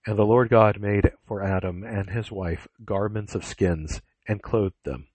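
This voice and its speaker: A man narrating scripture in a solemn, measured, dramatic storytelling manner. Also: on the low side, steady tone, darkish tone, no echo.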